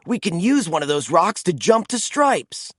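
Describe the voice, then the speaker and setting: A man's voice: sits high, big pitch swings, unhurried, fairly bright tone, breathy edge, dry recording, clean studio sound, exaggerated voice. A man voicing a friendly, confident young hero monster truck in a children's storybook, eager and encouraging. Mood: confident, urgent.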